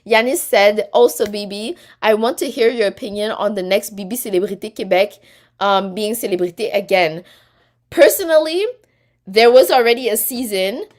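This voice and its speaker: A woman's voice: medium pitch, normal speed. Chatty woman hosting a live stream. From Quebec. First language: French. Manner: chatty, reads comments aloud and answers them. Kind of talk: casual live stream chat, reading viewer comments.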